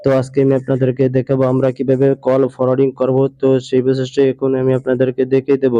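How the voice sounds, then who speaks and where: Man, tech tutorial host, mellow dry voice, fillers and restarts. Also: mid-range voice, fast talker, no echo. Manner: steady monotone with many fillers and restarts. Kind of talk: phone screen tutorial. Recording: muffled lo-fi voice-over.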